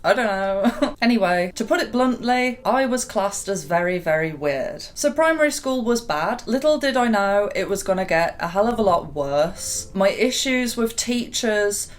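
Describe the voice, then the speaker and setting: A young woman from the UK with a deep voice, candidly telling her own story to camera as a YouTuber. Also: expressive, moderate pace. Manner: candid and reflective, with small laughs.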